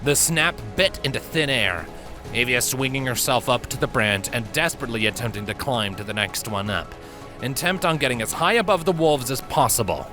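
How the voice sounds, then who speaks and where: A man reading a story as a measured, expressive narrator in a dramatic scripted style. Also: mid-range voice. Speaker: fan fiction narrator. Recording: prominent music and effects behind voice. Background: background music, sound effects.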